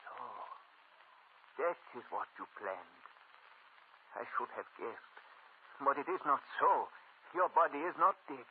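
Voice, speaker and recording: A man's voice: medium pitch, very animated, unhurried, long pauses. An actor playing an earnest, urgent, desperate male mystic in melodramatic old-time radio horror. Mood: grave warning. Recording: tinny, lightly noisy old radio recording.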